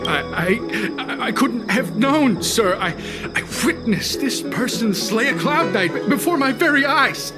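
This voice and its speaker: A man's voice: extremely high pitch, expressive, unhurried, over loud music. An actor playing a deferential male cult underling in a video game, formal and obsequious toward his superior. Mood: panicked. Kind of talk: dramatic video game voice acting.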